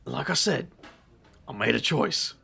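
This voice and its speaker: A man playing a roguish, sardonic supernatural fellow with dark secrets in an audio drama, speaking wryly. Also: mid-range voice, expressive, unhurried, creaky, very noisy. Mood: grave, guarded.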